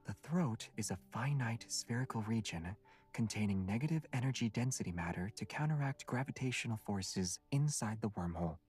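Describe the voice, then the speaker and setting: An actor playing a calm, gentle young companion in a romance game, speaking softly and with measured composure. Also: male voice, fairly low pitch, slightly rough, soft background music. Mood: measured, explanatory.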